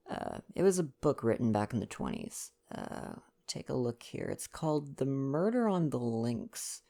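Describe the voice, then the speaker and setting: A young man doing an intimate ASMR boyfriend roleplay, chatting casually and reading aloud in a gentle, playful, affectionate way. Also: medium pitch, vocal fry. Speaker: ASMR roleplay performer and reader.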